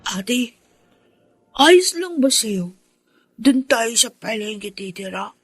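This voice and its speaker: A woman's voice: fairly high pitch, very animated, slow, husky, dry recording, soft background music, full character voice. A woman in a Filipino radio drama playing an earnest, hopeful, dutiful poor boy in his teens, speaking politely. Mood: resigned.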